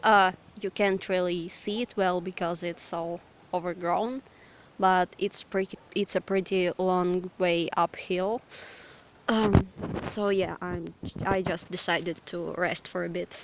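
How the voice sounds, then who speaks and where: A hoarse, slightly sick young woman from Ukraine with a Ukrainian accent, chatting hesitantly and apologetically in a forest. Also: on the low side, steady tone, slow pace, a little hiss or hum, rough recording. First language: Russian. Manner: hesitant, apologetic, lots of fillers. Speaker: vlogger. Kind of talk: casual rambling chat. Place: outdoors in a forest.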